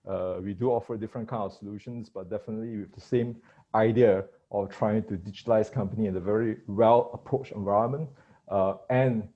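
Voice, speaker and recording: A male forum panelist speaking hesitantly with many "uh" pauses. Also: lowish voice, dark tone, slightly rough. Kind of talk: panel talk. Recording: dull lo-fi sound.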